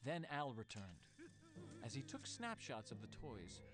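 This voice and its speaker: A man's voice: medium pitch, wildly swooping pitch, measured pace, music in the background. A man reading a scripted children's storybook as narrator.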